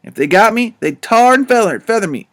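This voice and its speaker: A man's voice: high-pitched, expressive, brisk, a bit bright. A man voicing an old bald male con man and preacher with a folksy backwoods drawl in an audiobook. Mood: boastful. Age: older. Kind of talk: audiobook character voice.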